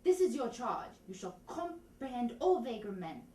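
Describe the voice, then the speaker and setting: A woman's voice: fairly high pitch, sing-song, moderate pace, warm tone, pitched above the performer's own voice. A woman playing a comically pompous, self-important constable in a declamatory Shakespearean style with a put-on funny accent. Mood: pompous, emphatic. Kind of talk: Shakespearean comedy, theatre audition reading. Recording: roomy, distant, noisy room recording.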